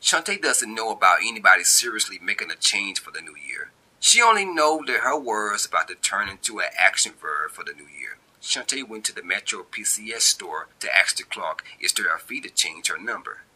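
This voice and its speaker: Bright-voiced man reading a story, lively pitch. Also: normal speed, few pauses, breathy edge, low-quality recording. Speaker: amateur author reading own story. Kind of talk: scripted story narration.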